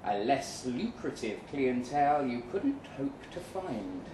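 Man acting out a slow-spoken, weary narrator, roomy sound. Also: mid-range voice, very animated. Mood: grave, reflective. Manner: deliberate, dramatic pauses. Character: weary, jaded male agent narrating his own story. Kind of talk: live dramatic short-story reading. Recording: roomy live venue, some noise.

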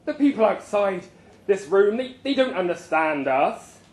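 A man's voice: sits high, sing-song, a little slow, roomy sound, heavily acted. A man voicing a fervent male boss who rallies beer lovers like a preacher, declaiming in rousing calls as part of a story reading. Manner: rousing, declamatory, chant-like call to the crowd.

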